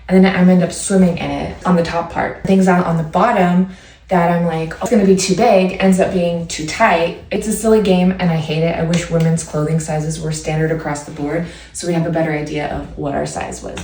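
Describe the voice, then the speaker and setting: Hoarse young woman chatting from across a room. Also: lowish voice, darkish tone. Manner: chatty and upbeat, talking straight to viewers. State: hoarse from a cold, partly lost voice. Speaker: vlogger doing a clothing try-on. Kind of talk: casual try-on haul chat. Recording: echoey room, far from the mic, faint background hum.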